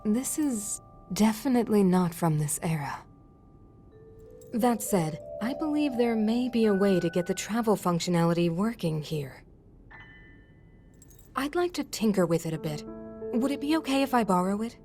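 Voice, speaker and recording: A woman's voice: mid-range voice, expressive, moderate pace, pauses often, natural voice. A woman voicing a composed, scholarly ancient sage and inventor in a video game, precise and explanatory. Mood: curious. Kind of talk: video game cutscene voice acting. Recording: music behind the voice.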